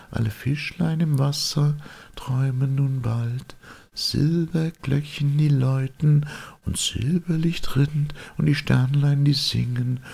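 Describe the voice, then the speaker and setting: Little silvery stars from a storybook, voiced by a man. The voice is lifted high and slowed right down, gentle and singsong like a lullaby. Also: vocal fry, breathy edge, heavily acted. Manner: slow, tender, singsong.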